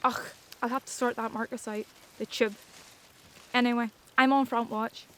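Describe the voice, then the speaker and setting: Woman playing a high-voiced Northern Irish schoolgirl. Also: level tone, normal speed, fairly bright tone, close to an everyday voice. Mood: brisk, irritated. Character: confident, elite older schoolgirl, tough but friendly. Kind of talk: audio drama acting.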